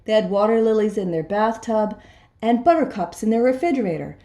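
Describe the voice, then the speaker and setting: A woman reading a children's storybook aloud in an expressive storytelling style. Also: medium pitch, fairly quick. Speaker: storybook reader. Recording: echoey room.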